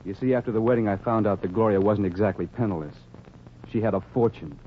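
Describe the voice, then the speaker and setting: A man's voice: lowish voice, fast, natural voice. A man with an American accent acting an earnest, plaintive, lovelorn working-class husband in 1940s radio comedy. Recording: dull, hissy 1940s radio recording.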